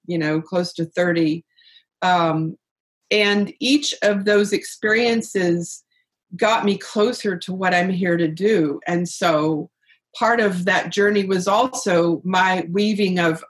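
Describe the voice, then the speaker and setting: A middle-aged woman speaking earnestly and reflectively as an interview guest about purpose and spirit, with soft laughs. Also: on the low side. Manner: earnest, searching, laughs softly. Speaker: guest, author on life purpose.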